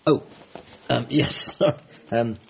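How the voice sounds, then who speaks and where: An awkward, bereaved son, slightly dull sound. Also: male voice, mid-range voice, very slow, creaky, a little hiss or hum, exaggerated voice. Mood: awkward, sad. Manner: hesitant, apologetic, flustered. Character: bereaved, awkward, earnest adult son working as a nurse. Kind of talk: radio comedy drama, narration and dialogue.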